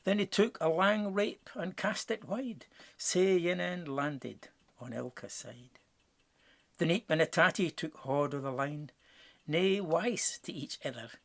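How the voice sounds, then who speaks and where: Scottish male narrator for a rhyming picture book, unhurried and theatrical, with a gravelly edge. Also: on the high side, fairly bright tone. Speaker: storybook narrator. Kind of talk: dramatic rhyming verse storytelling.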